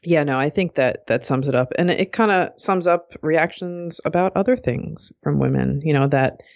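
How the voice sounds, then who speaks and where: Woman, low-pitched, dull lo-fi sound, podcast co-host, reflective and agreeable chat. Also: a little mellow, dry recording. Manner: agreeable, reflective, with many fillers and hedges. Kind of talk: casual conversational chat.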